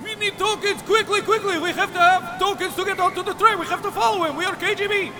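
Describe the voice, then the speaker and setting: A man voicing frantic, bossy secret police agents in an acted story, shrilly shouting urgent demands. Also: very high-pitched, steady tone, fast talker, over music, a crowd in the background, pitched above the performer's own voice.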